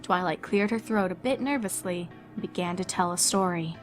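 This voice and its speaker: A woman's voice: on the low side, normal speed. A woman reading scripted fan fiction as a storybook narrator. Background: background music.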